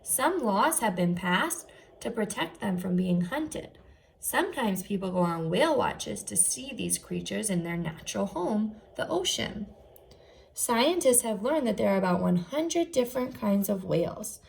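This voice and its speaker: Female first-grade teacher, animated pitch, creaky edges, enunciated instructional read-aloud delivery. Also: a little slow, low-quality recording. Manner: instructional, enunciated, posing questions to young listeners. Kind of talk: read-aloud lesson for young children.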